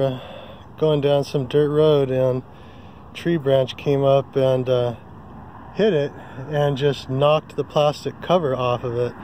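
A man's voice: mid-range voice, a little mellow, a little hiss or hum. A man casually vlogging outdoors at a riverside campsite, filming with a handheld phone and rambling with many ums and self-corrections. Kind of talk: casual how-to vlog. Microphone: handheld phone camera.

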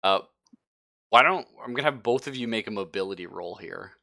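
Male game master, bright forceful voice with dry close sound, slangy and joking. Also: fairly low pitch, lively intonation, creaky, no room sound. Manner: jokey, slangy, quick to laugh. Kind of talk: casual tabletop game narration.

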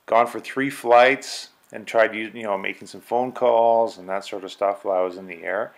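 A man giving a casual, informal voice-over review as a vlogger, with somewhat hesitant phrasing. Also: on the low side. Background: music under the voice.

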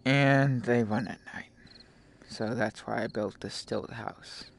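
A man giving casual live gameplay commentary as a streamer, halting and talking in short phrases, often mumbling to himself. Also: mid-range voice, vocal fry. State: frequent coughing. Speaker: game streamer. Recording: noisy home stream microphone.

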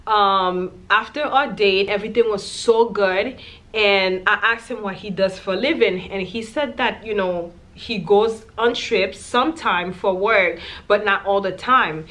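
A woman's voice: mid-range voice, a little slow, fairly bright tone. Chatty young woman telling a story. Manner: chatty, candid, lots of "like" and "you know". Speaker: vlogger. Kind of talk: casual storytime monologue to camera. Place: small indoor room.

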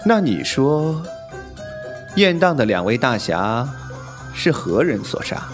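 Suave palace-master villain, male actor, very slow, amused menace, audio drama with light music behind. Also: medium pitch, big pitch swings. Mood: amused, cool. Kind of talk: wuxia audio drama acting.

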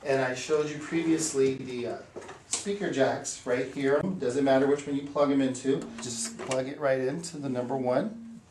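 A man in his forties with a mid-pitched voice walks through equipment setup step by step. He is plain and methodical, like an instructor doing a demonstration. The sound is roomy and picked up from a distance, with some noise underneath. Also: fairly even intonation, moderate pace. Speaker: instructor for an equipment rental company. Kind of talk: hands-on equipment tutorial. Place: small indoor meeting room. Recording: roomy echo, distant mic, background hiss.

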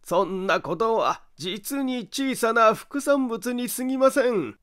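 An eerie male detective, acted by a male reader, speaks in a high voice at a very slow pace. Each phrase is deliberate and insistent, in the manner of a dramatic mystery audiobook. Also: lively intonation, fairly bright tone, clean tone, exaggerated voice. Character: eerie, slow, low-spoken male detective laying out a crime. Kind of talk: dramatic audiobook character acting.

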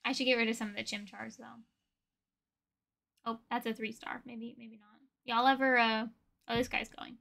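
A young woman hosting a livestream, chatting casually with viewers in a playful, slangy, expressive way. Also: a little high, normal speed, creaky, long pauses. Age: young adult. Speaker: livestream host. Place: indoor room with desk and shelves of collectibles.

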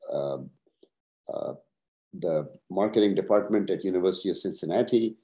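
A man in his sixties, originally from Pakistan, answering interview questions over a video call at a measured pace, with many "uh" pauses. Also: sits low, mellow. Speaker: guest, professor and retired executive.

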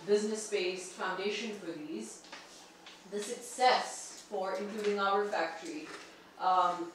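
A woman from Pakistan, earnestly and articulately putting a question and comment at a seminar. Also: medium pitch. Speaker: audience member, barrister and entrepreneur. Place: large indoor meeting room. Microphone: far room camera. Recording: distant mic, very echoey room, faint noise.

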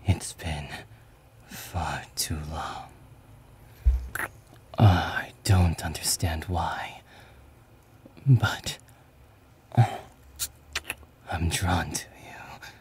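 A man voicing a brooding, conflicted young swordsman and tender lover in a romantic ASMR roleplay, halting and often whispering. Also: deep voice, a little mellow.

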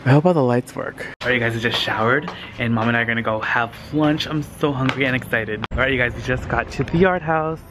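A man in his thirties vlogging casually into a handheld camera, chatty and excitable, talking straight to his viewers. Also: mid-range voice, fast, hardly any pauses, noisy background. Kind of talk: casual vlog narration. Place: car, outdoor yard and thrift store.

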